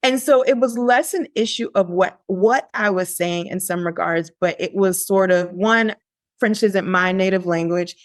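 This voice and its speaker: A woman guest on a podcast, telling a personal story in a lively, conversational way, often pausing on "like". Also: medium pitch, no room sound, studio quality. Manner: animated, lively quoting of her younger self; frequent "like" fillers and restarts.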